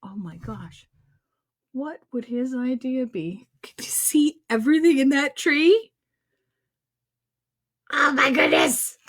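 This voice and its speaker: High-pitched, playful woman reading a picture book. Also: fairly bright tone. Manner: playful, exclaiming, dramatic emphasis and drawn-out words. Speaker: children's storyteller and host. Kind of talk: animated picture-book read-aloud with asides to young viewers. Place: recorded on a livestream.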